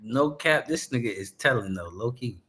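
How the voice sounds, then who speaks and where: A man's voice: medium pitch, lively intonation, moderate pace, a loud crowd. A man hosting a reaction show, talking in slangy casual commentary with frequent restarts and laughs.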